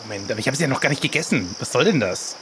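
A man's voice: mid-range voice, lively intonation, racing. Playful guy in an improvised audio adventure, exclaims a lot, noisy with crickets behind. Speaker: player in improvised adventure play. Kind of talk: casual in-character improvised banter.

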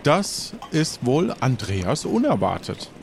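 A man narrating scenes as game master of an improvised role-play, measured and often pausing. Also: medium pitch, wildly swooping pitch, gravelly, slight background noise.

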